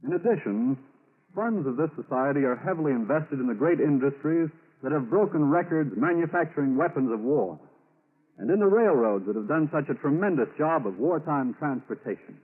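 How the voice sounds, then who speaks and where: A man reading scripted announcements in a formal, measured, emphatic 1940s radio style. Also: fairly high pitch, animated. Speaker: radio announcer and sponsor spokesman. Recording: narrow-band 1940s radio recording.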